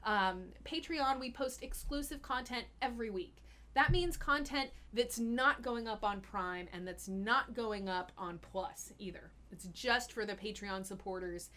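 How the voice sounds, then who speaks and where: A woman's voice: mid-range voice, a little slow, sharp tone. A young woman hosting a casual live-stream Q&A as a health coach, chatty and giggly with lots of fillers. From Austin, Texas.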